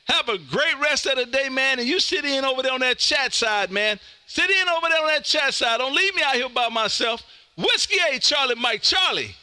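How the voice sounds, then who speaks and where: Bright high-voiced exuberant American man. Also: no room sound. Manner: exuberant, folksy, emphatic. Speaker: ham radio show host. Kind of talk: lively amateur radio on-air chat.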